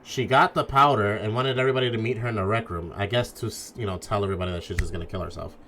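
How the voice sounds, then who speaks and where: Man, fairly low voice, casual game commentary, informal, sweary, thinking aloud. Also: racing, noisy background. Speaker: streamer playing a mystery game.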